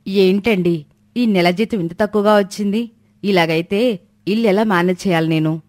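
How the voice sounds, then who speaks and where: A caring, long-suffering housewife voiced by a woman at a medium pitch. The recording is dry and close, in the manner of a storybook family drama. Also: normal speed, a little mellow, no echo, natural voice. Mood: questioning.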